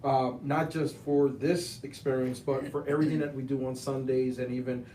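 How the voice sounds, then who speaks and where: A man in his fifties hosting a casual panel talk about relationships, laughing often and rushing when time runs short. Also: medium pitch, little pitch movement, dark tone. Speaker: host. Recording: echoey room, mic held at a distance, faint noise.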